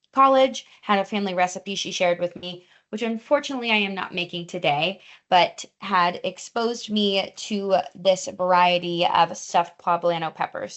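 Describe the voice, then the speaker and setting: A young adult woman, a dietitian, leading a live online cooking class in a chatty, friendly way with many fillers, recorded over a video call. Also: mid-range voice. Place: home or teaching kitchen.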